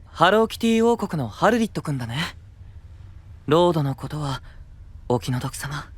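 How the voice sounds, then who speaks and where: Gentle, composed young fantasy knight. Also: male voice, on the high side, very animated, normal speed, slightly rough, dry recording. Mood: welcoming, polite. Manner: soft-spoken, polite, composed. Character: gentle, courteous, enigmatic young prince-like male knight. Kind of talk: Japanese anime-style voice drama.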